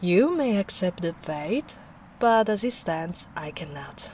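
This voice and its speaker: A big, kindly ogre woman acted by a female performer speaks softly and very slowly in an ASMR roleplay. Her voice sits a little low, and she sounds caring and plain-spoken as she muses. A light bed of ambient noise runs behind her. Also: lively intonation. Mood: concerned. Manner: unhurried, musing, soft-spoken.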